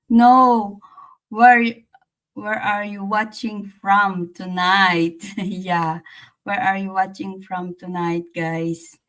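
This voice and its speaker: Female livestream host, Filipino accent, very slow halting delivery, mid-pitched, casual reflective chat with repeated phrases. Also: a bit bright. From Philippines.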